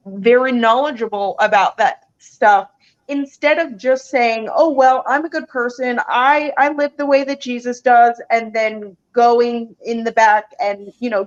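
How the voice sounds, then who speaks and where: A woman guest in casual chat, explaining her views in plain, confident statements. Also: a little high. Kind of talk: casual video-call chat. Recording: dull lo-fi audio.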